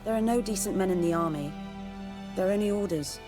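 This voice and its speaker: An actress playing a cool, bitter and knowing village herbalist in a fantasy game, measured and wry. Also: female voice, medium pitch, lightly acted. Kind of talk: video game voice acting. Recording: music behind the voice. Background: music.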